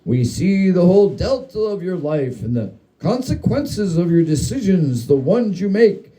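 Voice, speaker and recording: A man's voice: sits high, big pitch swings, measured pace, dark tone, pitched above the performer's own voice, low-quality recording. A man voicing a crude, gleeful and whiny ghost who needles and taunts in a melodramatic, mock-parental way, in a comic story reading. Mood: mock stern.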